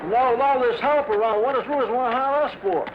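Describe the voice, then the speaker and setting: A man's voice: very high-pitched, a little fast, background noise. A man playing a wary, folksy gunhand in a Western drama, plain-spoken and full of frontier idioms. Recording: muffled lo-fi with music behind.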